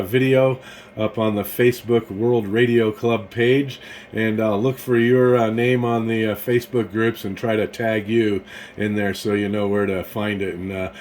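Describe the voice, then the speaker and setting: An American man with a fairly low voice talks casually as a ham radio operator. He rambles hesitantly through his thoughts, with slight background noise on the recording. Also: a little mellow.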